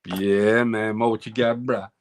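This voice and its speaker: A man's voice: on the low side, steady tone, measured pace. A man co-hosting a casual panel talk show, loose and joking with slangy talk. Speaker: co-host.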